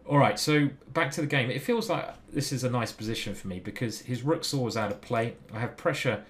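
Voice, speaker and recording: A man's voice: mid-range voice, fairly quick. A young British man streaming live, chatting casually and thinking aloud about his chess moves.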